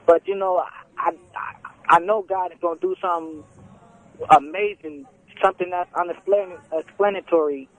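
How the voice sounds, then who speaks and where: A man's voice: high voice, fairly even intonation, moderate pace, fairly bright tone. A young man in his twenties calling in by phone, speaking earnestly with many restarts and fillers. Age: 20s. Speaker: caller. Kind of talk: casual phone call. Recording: tinny phone line.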